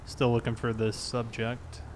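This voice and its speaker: An easygoing man talks casually to viewers as he streams a police roleplay game. His pitch sits in the middle range, and faint game vehicle noise runs behind his voice. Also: completely flat delivery, unhurried. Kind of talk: casual livestream chat while playing police roleplay.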